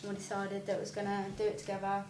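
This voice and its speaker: A woman's voice: medium pitch, completely flat delivery, rapid-fire. A nineteen-year-old woman, a young mother, giving an earnest, reflective personal testimony. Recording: roomy, distant, noisy.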